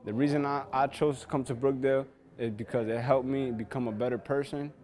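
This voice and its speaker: A young male athlete from New Jersey speaks in a testimonial style. His voice is of ordinary, medium pitch as he introduces himself. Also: steady tone, fairly quick, darkish tone. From New Jersey, USA. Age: young adult. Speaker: college basketball player.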